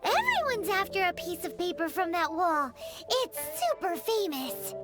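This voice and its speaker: A tiny fairy girl from an anime game, squeaky and extremely high. Her delivery is excitable and swoops wildly up and down. Loud music plays behind her. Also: measured pace, exaggerated voice. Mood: excited, amazed. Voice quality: squeaky, childlike. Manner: excitable, exclamatory, refers to herself in third person. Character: small excitable childlike floating fairy companion, girl. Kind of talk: anime video game voice acting.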